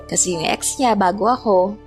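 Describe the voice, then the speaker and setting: An actress playing a gentle young Filipino woman who narrates her own story in a confiding, reflective way. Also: mid-range voice, moderate pace, faint music behind, close to an everyday voice. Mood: amused, reflective. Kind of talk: scripted first-person story narration.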